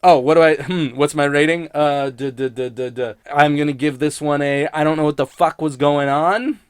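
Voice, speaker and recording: A man's voice: fairly high pitch, steady tone, normal speed, smooth, no room sound, clean studio sound. A man rambling casually and sardonically in an unscripted review, swearing freely like a podcast critic.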